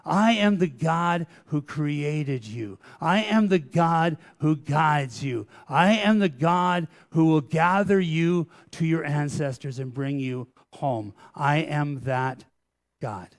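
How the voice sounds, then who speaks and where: A pastor voicing God as a calm, commanding male deity, solemn and measured. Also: a little high.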